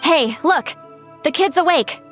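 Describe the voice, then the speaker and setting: A woman's voice: sits high, lively intonation, measured pace, fairly bright tone. A woman playing a peppy, friendly young girl in an anime game, upbeat, direct and encouraging. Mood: excited. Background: background music.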